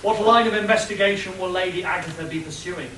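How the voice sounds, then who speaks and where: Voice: medium pitch, quick pace, lightly acted. A performer playing the melodramatic narrator of a comic radio serial. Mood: suspenseful. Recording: echoey room, distant mic, noisy.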